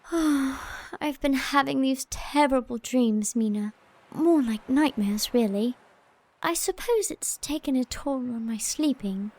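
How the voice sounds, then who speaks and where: An actress playing a blunt, lively young Englishwoman in an audio drama, talking in a playful, breathless way. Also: sits high, slow pace, exaggerated voice. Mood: uneasy.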